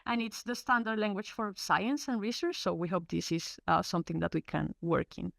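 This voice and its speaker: A woman's voice: medium pitch, steady tone, moderate pace, gravelly, dry recording, clean studio sound. A woman from Colombia, an English speaker, presenting in a webinar with many fillers and restarts. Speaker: research program presenter. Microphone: video call.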